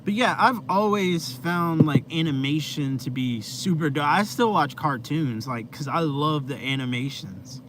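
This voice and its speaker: A young man chats with viewers on a live stream from inside his van, rambling in a laid-back way. His voice sits a little high and his pace is very slow and drawn out. A clear hum of vehicle noise runs under the recording. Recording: noisy vehicle ambience.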